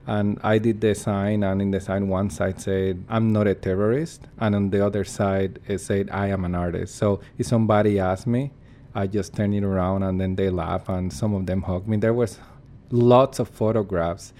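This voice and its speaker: A man's voice: on the low side, dark tone. A man, a performance artist, giving earnest and reflective interview answers while searching for his words.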